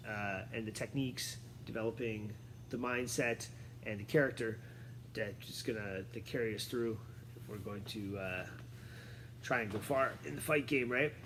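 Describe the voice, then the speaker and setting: A man's voice: medium pitch, a little hiss or hum. A man, a martial arts coach, talking casually from a chair in a rambling, filler-heavy, fired-up way. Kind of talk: casual talk to camera. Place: seated on a chair indoors.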